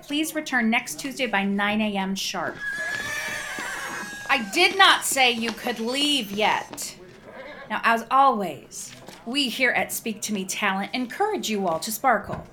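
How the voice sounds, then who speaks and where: A woman playing a brusque, imperious and exasperated casting director in a radio play, commanding and deadpan. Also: on the high side. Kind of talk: scripted radio play acting.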